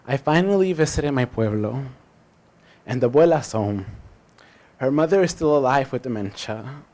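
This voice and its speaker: Higher-pitched man reading poetry. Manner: deliberate, emphatic, dramatic phrasing with pauses. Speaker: poet. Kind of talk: spoken-word poetry reading.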